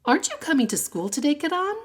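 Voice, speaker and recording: A woman's voice: sits high, very animated, quick pace, pitched above the performer's own voice. A woman voicing outspoken, indignant schoolgirls in a story, speaking emphatically. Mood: questioning.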